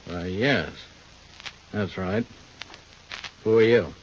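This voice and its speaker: Muffled, guarded airport owner. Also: male voice, on the low side, takes it slowly. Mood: uneasy, formal. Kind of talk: 1950 radio drama acting. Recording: muffled, faintly noisy old radio recording.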